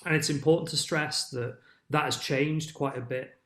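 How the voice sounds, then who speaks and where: A man's voice: a little high, steady tone, normal speed, fairly bright tone, echoey room. A man speaking as a researcher on a panel, thoughtful and hedging, with an academic tone. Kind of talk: panel discussion.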